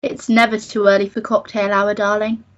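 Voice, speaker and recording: A woman's voice: mid-range voice, little pitch movement, normal speed, lightly acted. A woman playing a posh, affected, drink-loving society girl, breezy in a radio melodrama. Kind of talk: melodramatic radio play acting. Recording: dull lo-fi recording.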